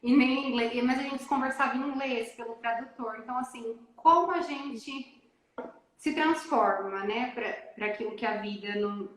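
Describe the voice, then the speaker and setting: A woman in a casual live chat with a fairly high voice, telling her story with emotional, animated energy. She is on a live video call in a very echoey room. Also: normal speed. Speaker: guest mother. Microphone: phone or laptop on a live video call.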